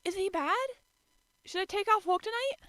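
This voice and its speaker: A woman's voice: extremely high pitch, lively intonation, moderate pace, a bit bright, no echo, voice pushed up. A woman voicing an anxious, polite young girl who stammers and frets, in an amateur story reading. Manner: stammering, fretful.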